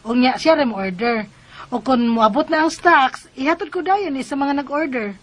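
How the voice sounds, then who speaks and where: An actress playing a hopeful, upbeat young wife who sells on credit, in Bisaya radio drama style with a Cebuano accent. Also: female voice, sits high, normal speed. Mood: eager.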